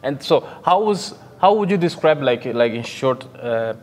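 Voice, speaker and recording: A man interviewing, asking halting questions with frequent restarts and fillers. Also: medium pitch, lively intonation, long reverb tail. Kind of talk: casual interview chat.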